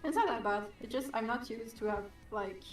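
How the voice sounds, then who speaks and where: Relaxed, teasing woman co-host, English not her first language, distant roomy sound with faint music. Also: a little high, fairly quick. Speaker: artist, co-host. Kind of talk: casual chat on a livestream.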